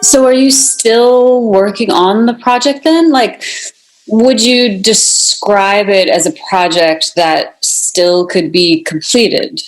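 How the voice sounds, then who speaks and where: An actress playing a curious interviewer in a radio drama, asking questions in a conversational style. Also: female voice, medium pitch, takes it slowly, close to an everyday voice, low-quality recording. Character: curious female interviewer drawing out a storyteller.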